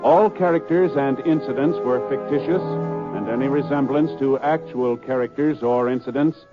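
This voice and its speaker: American guy, old time radio announcer, measured n formal, mid pitch, music under, dull sound. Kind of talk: 1950s radio announcing, scripted.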